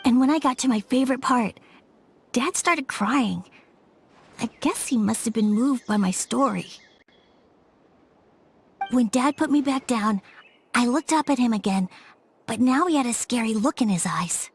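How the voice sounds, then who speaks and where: A woman's voice: on the high side, animated, unhurried, long pauses, slightly rough, heavily acted. A woman voicing an earnest, sad young boy in a game, hesitant and childlike as the child tells stories and searches for words. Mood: subdued, hurt. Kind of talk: scripted game dialogue, storytelling.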